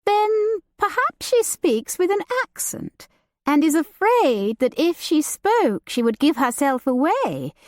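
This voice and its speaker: A flighty young noblewoman, playful and romantic, in a high and lively voice, put on by a woman reading the story aloud. She talks in a lilting, gossipy way. The recording is dry and close. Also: takes it slowly, no echo, full character voice.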